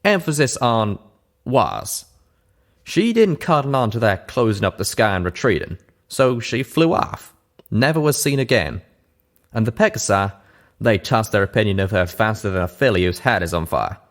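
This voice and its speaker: Casting: plain-spoken pegasus cowboy outcast acted by a male reader, low voice, bitter and emphatic, audio storybook. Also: animated, moderate pace. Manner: folksy cowboy phrasing, emphatic.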